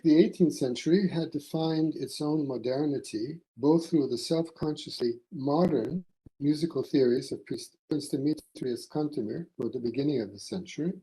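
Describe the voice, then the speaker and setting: A man delivering a formal academic conference paper from a prepared text, in measured, deliberate phrases. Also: on the high side, mellow, low-quality recording. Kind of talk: formal scholarly lecture read from a prepared text.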